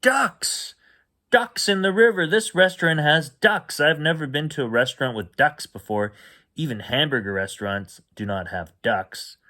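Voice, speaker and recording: A man voicing an excitable, pleading little girl in a storybook, with gushing, emphatic delivery. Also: fairly high pitch, moderate pace, pitched above the performer's own voice. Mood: curious, amazed. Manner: gushing, begging, emphatic repetition.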